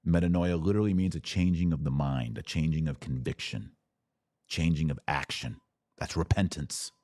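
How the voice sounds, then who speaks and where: A man's voice: a bass voice, normal speed, darkish tone, no room sound. An American man speaking as a podcast host in conversational religious commentary, emphatic, often in short repeated phrases.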